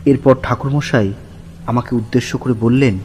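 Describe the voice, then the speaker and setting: A man's voice: mid-range voice, steady tone, normal speed, darkish tone, a little hiss or hum, close to an everyday voice. A man playing an earnest, shaken narrator who recounts a supernatural horror tale in the first person with suspenseful expression. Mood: suspenseful narration.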